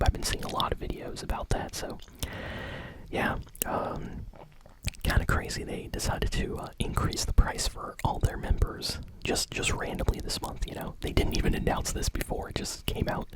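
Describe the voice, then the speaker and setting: A man hosting ASMR gaming news in a soft, casual whisper, full of fillers like "like" and "you know". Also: very high voice, poor audio.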